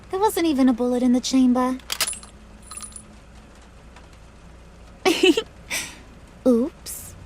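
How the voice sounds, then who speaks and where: A woman's voice: high-pitched, slight background noise, exaggerated voice. A woman playing a playful, menacing young female villain in a video game, slangy and mock-sweet in her taunts. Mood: playfully taunting. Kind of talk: video game character acting.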